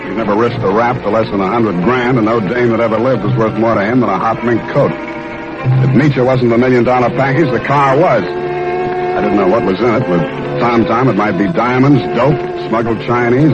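An actor playing a cool, wry American private detective in a 1940s radio drama, with laconic, slangy hard-boiled delivery. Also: male voice, medium pitch, moderate pace, natural voice. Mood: wry narrating. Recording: muffled vintage radio broadcast, music underneath.

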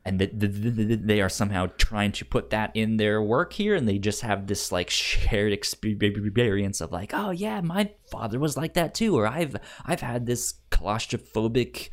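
A man casually discussing a movie as a podcast host, with halting speech and stuttered, repeated sounds and words. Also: on the low side, lively intonation.

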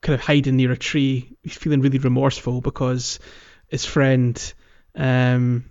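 A man co-hosting a podcast, chatting casually and explaining a video game playthrough in a halting way. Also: medium pitch, level tone, a little mellow. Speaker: podcast co-host. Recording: dull lo-fi audio, music underneath. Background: background music.

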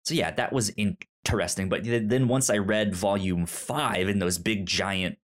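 A man hosting a casual book discussion, halting and rambling, with a stutter that repeats sounds and a self-deprecating manner. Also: on the low side. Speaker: podcast host.

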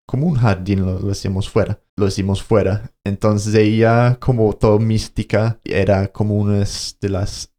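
A man's voice: fairly low pitch, fairly even intonation, moderate pace, few pauses, dark tone. A man co-hosting a podcast, telling a story in casual conversation, hesitating and searching for words as he goes. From Colombia. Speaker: podcast co-host.

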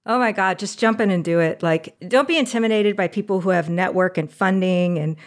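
A woman guest on a podcast interview, talking in a casual, animated way and laughing often as she gives advice. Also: medium pitch, brisk. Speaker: podcast guest, audio drama producer.

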